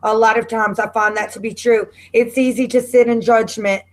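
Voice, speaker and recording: A woman's voice: on the high side, little pitch movement, moderate pace. A woman hosting a casual online book club panel, chatty and rambling, laughing easily, on a home computer mic during a video call.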